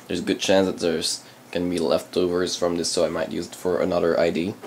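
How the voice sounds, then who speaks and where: Dutch native speaker, male, very low voice, halting hobbyist unboxing talk. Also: little pitch movement, slight background noise. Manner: halting, many ums and uhs. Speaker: channel host reviewing PC parts. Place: indoors at a desk, hands on camera.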